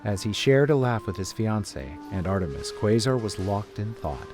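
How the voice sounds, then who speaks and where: A man's voice: lowish voice, sing-song, normal speed. A man reading scripted story narration as a narrator, with music behind him.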